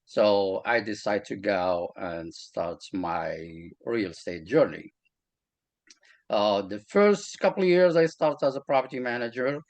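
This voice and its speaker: A man from Morocco, with a Moroccan accent and Arabic as his first language, talks about his career as a real estate agent in a halting way, with many fillers and restarts. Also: on the low side, expressive, a little mellow, dry recording. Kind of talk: casual interview talk.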